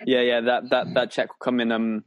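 Co-host: young man, 19, mid pitch, dry close mic, hesitant, self-correcting. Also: level tone, fairly quick, dry recording, lo-fi sound. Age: young adult. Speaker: podcast co-host. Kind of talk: casual podcast chat.

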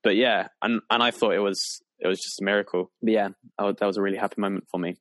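A man's voice: on the low side, steady tone, fast talker, dry recording, clean studio sound. A nineteen-year-old man co-hosting a casual podcast, telling stories with lots of hesitant restarts. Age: young adult. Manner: hesitant, self-correcting storyteller. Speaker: podcast co-host.

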